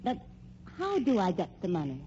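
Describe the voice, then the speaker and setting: A woman's voice: mid-range voice, huge pitch swings, normal speed, dark tone. An actress playing an indignant, calculating young American woman in an old radio crime drama, haughty and protesting. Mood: tempted, hesitant. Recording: dull old radio recording, faint hum.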